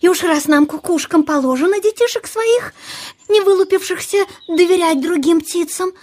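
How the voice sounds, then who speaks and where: High-pitched, naive young cuckoo. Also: female voice, normal speed, darkish tone. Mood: tender, admiring. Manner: exclaiming, wide-eyed questioning. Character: young naive female cuckoo, eager first-time mother. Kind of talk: children's audio play acting.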